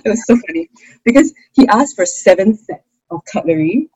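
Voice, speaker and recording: A young woman from Singapore with a Singaporean English accent, chatting expressively as an interview guest, with frequent fillers and quick laughs. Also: mid-range voice, expressive, a little mellow, husky, dry recording, low-quality recording. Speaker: interview guest, hawker's daughter. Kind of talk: casual interview conversation.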